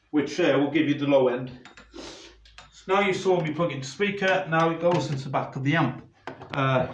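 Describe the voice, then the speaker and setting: A man's voice: on the high side, a little hiss or hum. A man, a DJ, giving a casual how-to demonstration while handling gear, rambling and restarting sentences as he goes. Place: room with a camera operator. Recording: roomy, slightly dull home video audio.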